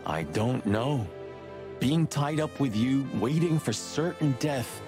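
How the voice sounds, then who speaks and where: An actor playing a talkative, sardonic rooster cop sidekick in a film-noir adventure game. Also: male voice, mid-range voice, lively intonation, slow pace, over music. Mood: confessional.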